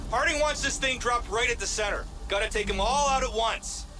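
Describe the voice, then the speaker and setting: Forceful, brash soldier. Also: male voice, very high-pitched, fairly quick, slight background noise. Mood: brisk, callous. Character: callous, brash military soldier man. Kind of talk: video game voice acting.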